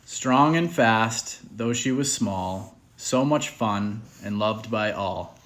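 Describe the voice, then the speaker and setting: A man's voice: lowish voice, expressive, takes it slowly, fairly bright tone. Man reading a children's story aloud. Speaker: author reading his picture book.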